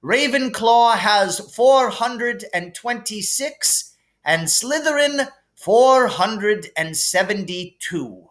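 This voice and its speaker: A man voicing an elderly, wise and kindly wizard headmaster with a stately, deliberate delivery, as in a storybook reading. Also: high voice, animated, a bit bright, voice pushed up, exaggerated voice. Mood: ceremonious.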